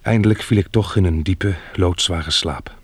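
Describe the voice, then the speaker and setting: An actor playing a level-headed, measured male survivor who also narrates a radio drama. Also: low voice, slightly rough, no echo. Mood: reflective.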